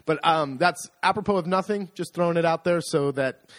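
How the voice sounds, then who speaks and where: An American man co-hosting a casual podcast chat, self-deprecating and animated, often restarting his sentences. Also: fairly high pitch, fairly quick, dry recording. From United States. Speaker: podcast co-host.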